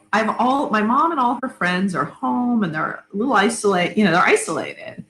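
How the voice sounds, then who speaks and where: A middle-aged woman explains her work as an academic guest, with a very animated, swooping delivery. She talks in lists and asides, chatty and detailed. The room is echoey, with some noise behind her. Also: a little high. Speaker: interview guest, academic and author. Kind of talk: conversational explanation. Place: indoor room on an online video call.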